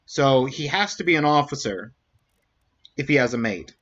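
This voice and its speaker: A man's voice: medium pitch, animated, moderate pace, a bit bright, dull audio. A man casually commentating a game stream, thinking aloud as he reasons through clues.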